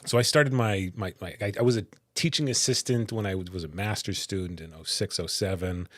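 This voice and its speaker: A man who is a political science professor, answering interview questions in a big, animated voice with frequent restarts. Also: sits low, brisk, dry recording, clean studio sound.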